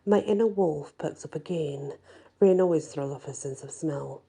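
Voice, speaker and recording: A woman narrating a first-person fantasy story in an expressive, deliberate storytelling style. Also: low-pitched, vocal fry, slightly rough. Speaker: story reader.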